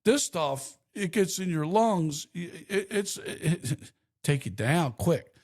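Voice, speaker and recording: A middle-aged man with a slightly rough voice co-hosts a casual podcast. He sits a little high in pitch and rambles through animated stories, laughing as he goes. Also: takes it slowly. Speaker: podcast co-host. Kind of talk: casual chat.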